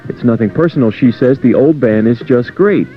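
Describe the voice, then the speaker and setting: A man's voice: mid-range voice, moderate pace. A man reading a scripted music news report for radio as a reporter. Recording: dull lo-fi radio aircheck tape.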